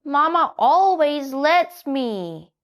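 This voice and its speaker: A woman voicing Little Donkey, a spoiled and stubborn little boy donkey, in a high, whiny, drawn-out voice. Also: sing-song, heavily acted. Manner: whiny, slow and pleading.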